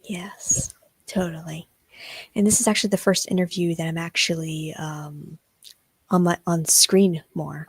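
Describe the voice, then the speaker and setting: Host, young woman, very low husky voice, shy and hesitant, video call. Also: fairly even intonation, unhurried, no room sound. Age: young adult. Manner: shy, hesitant with many ums. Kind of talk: livestream interview.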